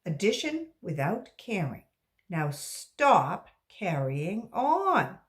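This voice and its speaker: A firm woman schoolteacher from a children's storybook, as a woman reader acts her. She is insistent and unflappable, spelling things out slowly with lively rises and falls. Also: fairly low pitch, full character voice. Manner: firm, instructive. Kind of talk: children's storybook read-aloud.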